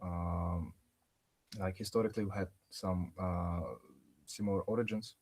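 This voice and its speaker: Young male student from Yakutia; lowish voice, relaxed and rambling, many fillers, video call. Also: measured pace. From Yakutia, Russia. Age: young adult. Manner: relaxed, filler-heavy with frequent "like". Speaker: undergraduate student. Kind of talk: casual self-introduction.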